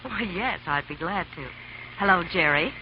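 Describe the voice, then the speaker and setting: Actress as a quick-witted, teasing young woman, lo-fi old broadcast. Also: on the low side, animated, natural voice. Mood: warm. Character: breezy, wisecracking young woman. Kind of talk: 1930s radio drama acting. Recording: dull old radio broadcast recording with faint hiss.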